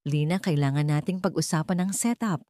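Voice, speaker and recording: A woman with a Filipino accent playing a repentant, gentle rich father in an audio story. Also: low-pitched, a little fast, no room sound, clean studio sound, natural voice. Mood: tentative, sincere.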